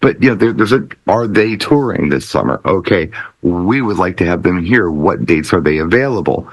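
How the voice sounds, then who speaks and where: A man's voice: sits low, lively intonation, moderate pace, no room sound. A man co-hosting a casual talk show, explaining things conversationally. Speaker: podcast co-host.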